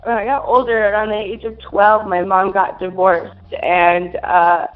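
A twenty-year-old woman from Minnesota answers questions at an unhurried, halting pace, full of fillers and little giggles. The recording is dull and muffled, with faint music playing behind her. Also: mid-range voice, level tone. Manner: hesitant, many fillers, giggly. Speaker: interview guest. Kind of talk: casual interview answers.

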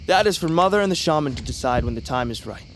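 Actor as proud young warrior brother, slightly high male voice, playful, confident, fantasy audio drama, faint background ambience. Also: animated, fast talker, a little hiss or hum, lightly acted.

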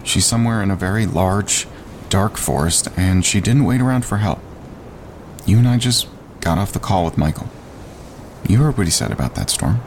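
An actor playing a calm, practical male wilderness hiker with a dryly teasing manner, in a naturalistic audio drama. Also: normal speed. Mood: calm, firm. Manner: level-headed, dryly teasing. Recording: clear outdoor ambience and rumble.

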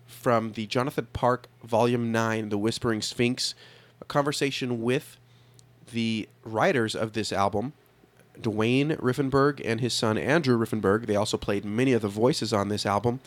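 A man's voice: medium pitch, moderate pace, no room sound. A man hosting a podcast, introducing the episode in a casual, lightly scripted way. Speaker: podcast host.

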